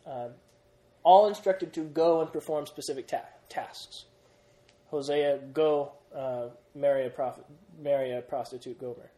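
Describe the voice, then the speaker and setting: A young man teaches a Bible class with energy. His voice sits a little high for a man. He quizzes the group as he goes and restarts his sentences often. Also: measured pace, dark tone. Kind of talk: classroom Bible lesson with questions.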